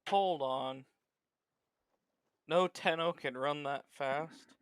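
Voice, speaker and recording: Slow, smooth-voiced man in casual banter. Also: fairly high pitch, no room sound, clean studio sound. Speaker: co-commentator. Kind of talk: casual joking chat.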